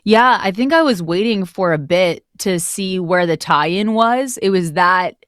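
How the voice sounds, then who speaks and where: Woman guest on a casual interview, lowish voice, effusive, really dry sound. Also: expressive, measured pace, fairly bright tone, dry recording. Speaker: guest, voice actor who narrated the project.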